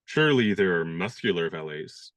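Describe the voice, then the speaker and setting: An actor in a radio play playing a young gentleman disguised as a valet, speaking in a dry, good-humored, polite way. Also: deep voice, animated, normal speed, no room sound. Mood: wry.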